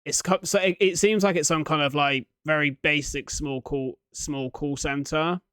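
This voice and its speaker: Clear-voiced man giving halting casual reactions. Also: a little high, fairly even intonation, fairly bright tone, no room sound, clean studio sound. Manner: halting, thinking aloud. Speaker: reaction video host.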